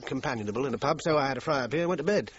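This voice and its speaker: A man's voice: mid-range voice, racing, highs cut off, slight background noise, close to an everyday voice. An actor with a British accent playing a defensive, uneasy male suspect in a radio crime drama, speaking in a faltering, evasive way. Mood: hesitant, guarded.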